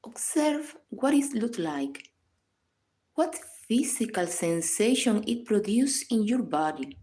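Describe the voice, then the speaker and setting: A woman reading a scripted guided meditation in a calm, measured, instructional manner. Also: medium pitch. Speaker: psychologist guiding a meditation.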